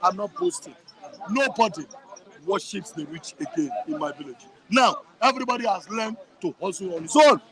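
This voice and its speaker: A man with a Nigerian accent and a high voice talks with passion and emphasis. He circles back over his phrases to drive a point home. He is speaking outdoors in a town. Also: lively intonation, moderate pace, a crowd in the background, low-quality recording. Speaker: guest. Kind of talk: impassioned interview answers.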